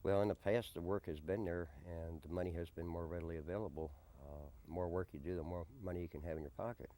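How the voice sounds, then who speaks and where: A man being interviewed in a conversational documentary, speaking deliberately and often repeating a question back before answering. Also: sits low, fairly even intonation, dark tone. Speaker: interviewee, desert camp resident and odd-job handyman. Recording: noisy early-90s amateur documentary tape.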